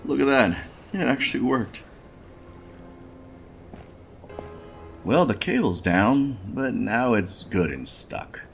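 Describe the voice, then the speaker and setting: An actor playing a weary, wry noir handyman on a space station, narrating a point-and-click game in a laconic, folksy way with a gravelly voice. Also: medium pitch, big pitch swings, measured pace, faint music behind. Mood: pleased, determined.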